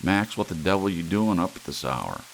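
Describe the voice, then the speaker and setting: Man acting a gravelly, irritable, weary husband. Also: deep voice, moderate pace, pitched above the performer's own voice. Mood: irritated. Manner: blunt, exasperated. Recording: steady hiss, rain sound underneath.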